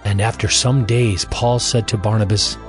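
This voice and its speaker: A man narrating scripture as a scripted audio drama, in a measured, formal manner. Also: fairly low pitch, fairly even intonation. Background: music.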